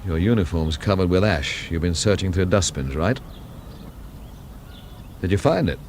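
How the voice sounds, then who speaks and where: An actor playing a weary, sardonic amateur investigator in a radio mystery, narrating and questioning in a dry, wry way. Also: male voice, sits low, expressive, normal speed, a little mellow, a little hiss or hum. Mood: probing, sardonic. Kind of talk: radio mystery drama acting and noir narration.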